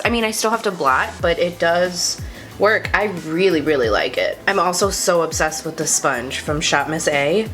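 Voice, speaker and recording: A young woman talks her viewers through her makeup in a chatty, confiding way, poking fun at herself as she goes. Her voice sits fairly low and sounds stuffed up from a cold. Soft music plays faintly underneath. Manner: chatty, confiding, self-deprecating. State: congested, sniffly. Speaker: beauty vlogger. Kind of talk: casual makeup tutorial chat.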